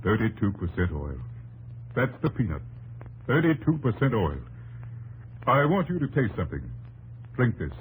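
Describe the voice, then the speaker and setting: A man's voice: fairly low pitch, very animated, normal speed, pauses often, in a large hall, faint music behind, poor audio. An actor playing an earnest, insistent male professor and inventor in 1950s radio drama, speaking in an emphatic, instructing way. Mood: proud, intent. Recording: 1950s radio broadcast, faint noise.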